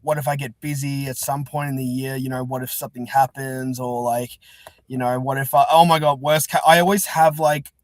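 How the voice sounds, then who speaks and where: A man's voice: medium pitch, steady tone, brisk, dry recording. A man chatting casually as an interview guest, talking in a rambling, chatty way. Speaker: interview guest, fan-dub creator. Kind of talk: casual chat.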